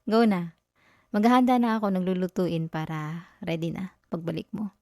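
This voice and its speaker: Mellow, close-up affectionate girlfriend, acted by a woman. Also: fairly low pitch, fairly even intonation, moderate pace, dry recording, lightly acted. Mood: playful, caring. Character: affectionate, teasing young live-in girlfriend comforting tired partner. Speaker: ASMR roleplay performer. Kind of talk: ASMR girlfriend roleplay, intimate and conversational.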